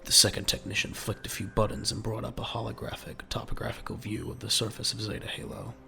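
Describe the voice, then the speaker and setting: A man narrating a scripted audio drama in a measured, dramatic, gravelly voice. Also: on the low side, flat delivery, pushed and bright, faint music behind.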